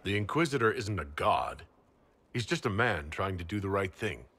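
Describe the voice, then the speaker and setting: An actor playing a modest, thoughtful hero and leader in a video game, with a husky voice. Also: male voice, low-pitched, big pitch swings, normal speed, pushed and bright, full character voice. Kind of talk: video game drama acting.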